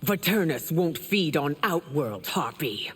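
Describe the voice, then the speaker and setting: A woman's voice: sits low, sing-song, unhurried, heavy background noise. A woman playing a proud, stern empress in a fantasy video game, speaking in a regal and formal manner. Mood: stern, haughty. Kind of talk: video game dramatic acting. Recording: long hall reverb, music behind.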